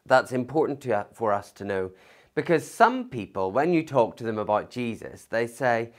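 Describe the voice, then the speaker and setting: A man pastor giving a conversational Christmas sermon, thoughtful, with pauses and fillers. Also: medium pitch, sing-song. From not from Liverpool.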